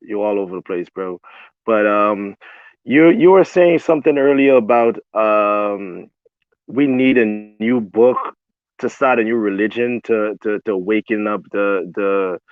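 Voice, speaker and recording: A man's voice: lowish voice, a little slow, smooth, no echo. A man speaking on a panel, arguing emphatically, repeating words and saying bro often. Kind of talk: heated panel debate. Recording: tinny phone-like line.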